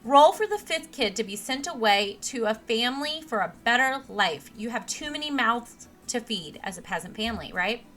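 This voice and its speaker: A young woman with a naturally monotone voice, casually narrating her gameplay with plenty of ums and restarts. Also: on the high side, normal speed, few pauses, faint music behind. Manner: rambling, with many ums and restarts. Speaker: let's play host. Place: home computer setup. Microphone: desk mic replacing a broken headset.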